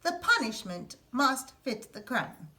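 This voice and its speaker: A woman voicing a wise old village witch who speaks in a measured, firm way in a read-aloud storybook. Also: a little high, sing-song, sharp tone, heavily acted.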